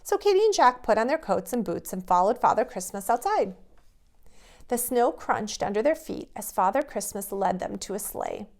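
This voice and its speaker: A woman reading a storybook aloud to young children in an expressive storytelling manner. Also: medium pitch, moderate pace.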